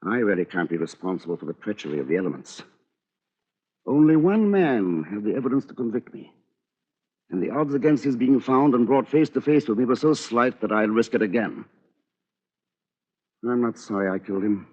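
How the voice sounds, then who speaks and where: An actor playing a coldly arrogant solicitor in a vintage radio thriller, formal, articulate and sardonic, on an old radio recording. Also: male voice, on the low side, sing-song, normal speed, long pauses, a little mellow, muffled recording. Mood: cold, proud. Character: coldly arrogant English solicitor confessing to murder. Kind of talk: 1940s radio thriller acting.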